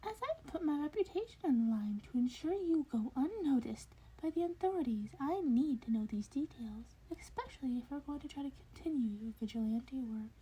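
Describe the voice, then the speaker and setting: A woman's voice: sits high, expressive, moderate pace, hardly any pauses, mellow, breathy edge, pitched above the performer's own voice, full character voice, low-quality recording. A woman voicing a small furry animal school principal in an audio story, confident and curious, with polite, formal, probing speech. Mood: firm, precise.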